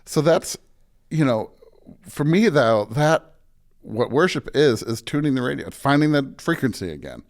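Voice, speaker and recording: Conversational American man, mid-pitched voice. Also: big pitch swings, unhurried. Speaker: pastor, podcast guest. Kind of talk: casual reflective interview talk.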